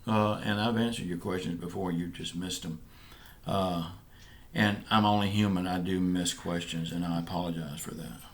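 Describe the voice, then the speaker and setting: A man's voice: low voice, fairly even intonation. A man with a Southern US accent, casually answering viewer questions as a vlog co-host, easygoing and a bit rambling. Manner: easygoing, rambling, halting with fillers. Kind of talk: casual chat.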